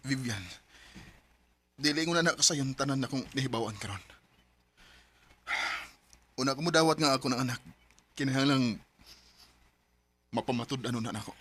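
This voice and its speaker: A man's voice: mid-range voice, normal speed, pauses often, a bit bright, breathy edge. A man acting as a devoted, earnest husband in an emotional Bisaya radio drama, with a Cebuano accent. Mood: guarded, uneasy.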